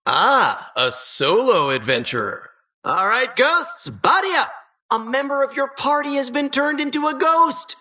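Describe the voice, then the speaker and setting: A gleefully sinister female dungeon host from a video game. The voice is bright and forceful, leaping up and down as it teases. Every line has a theatrical, mock-welcoming polish with a sardonic edge. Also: high voice, very animated, unhurried, heavily acted. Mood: playful, taunting. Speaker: game announcer.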